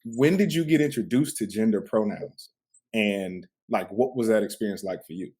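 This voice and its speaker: A man's voice: on the low side, moderate pace, a little mellow, husky. A man hosting a podcast, talking in a reflective, casual way and often checking in with "right?". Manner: reflective, peppers talk with "like" and "right?". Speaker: podcast host. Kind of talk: casual conversational interview.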